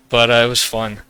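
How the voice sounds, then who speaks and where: A man's voice: mid-range voice, clean tone, no room sound, rough recording. A young man hosting a gaming podcast, chatting casually and laughing easily between jokes. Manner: laughs easily, relaxed joking.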